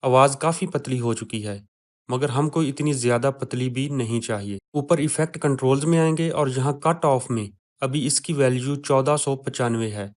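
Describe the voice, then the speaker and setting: A man's voice: medium pitch, a little mellow. A man presenting an instructional tutorial as a voice-over for a screen recording.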